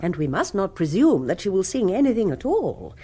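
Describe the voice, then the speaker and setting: Grand, affected, husky-edged English society queen. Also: mid-range voice, very animated, fairly quick, warm tone. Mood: imperious, scheming. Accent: upper-class English. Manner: theatrical, affected, sprinkles speech with Italian endearments. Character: affected, imperious village social queen, grandly self-satisfied woman. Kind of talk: radio comedy drama acting.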